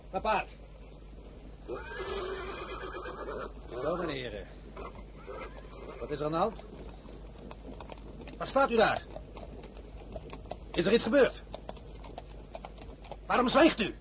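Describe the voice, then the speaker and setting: An actor playing an imperious, volatile young general with an abrupt, commanding manner in a radio drama. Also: male voice, sits high, very animated, takes it slowly, long pauses, slight background noise, full character voice, rough recording. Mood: demanding, alarmed.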